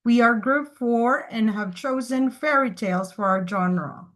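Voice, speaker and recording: A woman's voice: a little high, a little slow, a bit bright, poor audio. A woman student presenter reading a scripted, storybook-like introduction to a group presentation.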